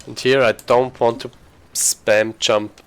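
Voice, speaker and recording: A man's voice: mid-range voice, little pitch movement, takes it slowly, clean tone. A man giving casual live commentary as he streams a speedrun, informally thinking aloud while he plays.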